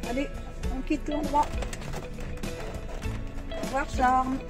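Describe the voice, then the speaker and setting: A middle-aged woman with a fairly high voice chats in a casual, practical way inside a vehicle. Loud music runs over the recording. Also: little pitch movement, slow. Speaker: travel companion and co-vlogger. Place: inside a camper van.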